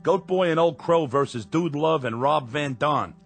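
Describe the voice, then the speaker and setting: A grumpy New cabbie. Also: male voice, a little high, moderate pace, a bit bright, faint music behind. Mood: weary. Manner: gruff, defensive, complaining. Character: tired, grumpy working-class cab driver husband.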